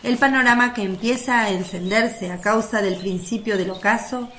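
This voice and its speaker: A woman's voice: a little high, moderate pace, fairly bright tone. A woman narrating a religious radio drama in a descriptive, literary reading style. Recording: faint background noise of birds and crickets.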